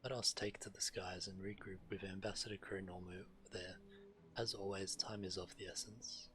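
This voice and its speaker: A man reading quest dialogue aloud in a measured way while streaming a game. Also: low voice, flat delivery, a bit bright.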